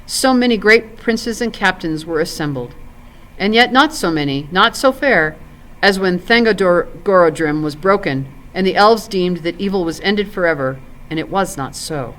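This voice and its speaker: A woman's voice: on the low side, expressive, moderate pace, a bit bright, low-quality recording. A woman reading the part of a grave, ancient male elf lord in a storybook, with solemn, stately, archaic phrasing. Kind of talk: storybook character in read-aloud.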